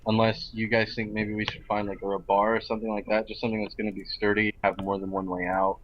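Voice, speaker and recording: A man with a lowish voice and very little melody talks casually as a game player. He is a little hesitant. The sound is dull and lo-fi, with faint noise. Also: little pitch movement, fast. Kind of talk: casual chat.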